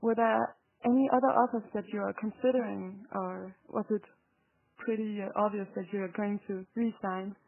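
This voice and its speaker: A woman conducting a casual phone interview as an admiring fan, speaking in a hesitant, halting way over a telephone. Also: medium pitch, fairly even intonation, creaky. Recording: tinny band-limited phone line.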